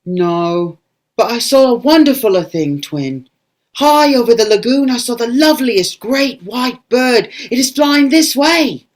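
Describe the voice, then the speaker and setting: A woman's voice: a little high, sing-song, unhurried, a little mellow. A woman playing a wide-eyed, dreamy young boy in an audio play, telling things earnestly. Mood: awed.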